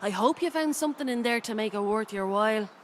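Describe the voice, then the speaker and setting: A woman's voice: a little high, expressive, fast talker, slight background noise, lightly acted. A woman voicing a friendly, slightly nervous adventuring companion in a video game, chatty and eager. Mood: relieved, friendly. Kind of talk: scripted video game quest dialogue.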